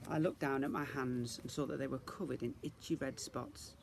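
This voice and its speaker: A man reading a children's story aloud as a teacher would, in an expressive narrating style. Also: mid-range voice, normal speed, gravelly, slight background noise.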